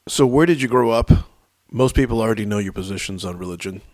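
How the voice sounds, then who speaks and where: Deep-voiced man hosting an interview. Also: fast talker. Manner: brief, direct questions. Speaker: podcast host. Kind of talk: interview questions and introduction.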